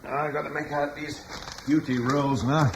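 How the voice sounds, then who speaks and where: An actor playing a calm, commanding middle-aged husband and guard leader, warmly reassuring, in a radio drama. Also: male voice, on the high side, a little slow, background noise.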